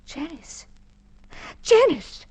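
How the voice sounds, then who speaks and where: A woman's voice: very high voice, sing-song, drawn-out words, a little mellow, exaggerated voice. A woman playing an assertive, gushing old family friend with a secret in a radio crime drama, theatrical and insistent. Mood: shocked.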